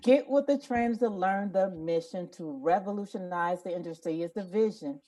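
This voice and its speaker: A woman's voice: medium pitch, normal speed, dark tone. A woman on a group video call, chatting casually and rapping as an amateur. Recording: distant video-call microphone.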